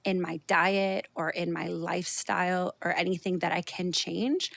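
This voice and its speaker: A woman's voice: lowish voice, fairly even intonation, normal speed, vocal fry, a bit bright. A Canadian woman in her thirties, a podcast guest talking openly and earnestly, with lots of "you know" fillers. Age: 30s. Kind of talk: candid conversational interview.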